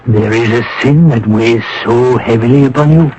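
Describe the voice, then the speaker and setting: An actor playing a kindly, grave wartime priest in a radio drama, speaking in a measured, gentle, formal way on an old broadcast recording. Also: male voice, mid-range voice, muffled recording, a little hiss or hum, close to an everyday voice. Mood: gentle, concerned.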